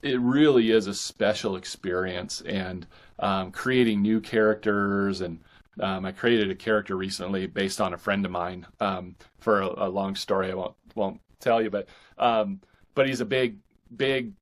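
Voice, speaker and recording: Low-voiced man in a casual interview. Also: steady tone, normal speed. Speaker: guest fiction author.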